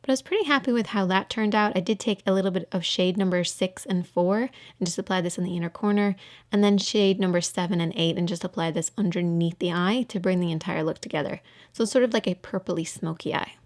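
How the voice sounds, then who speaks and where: A woman's voice: on the low side, fast. A woman giving an informal voice-over for a makeup tutorial, explaining things step by step.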